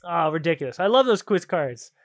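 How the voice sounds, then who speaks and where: A man's voice: a little high, very animated, a little fast. A man hosting a podcast in casual chat, playful and jokey, reading out trivia cards and often amused. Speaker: podcast host and quizmaster.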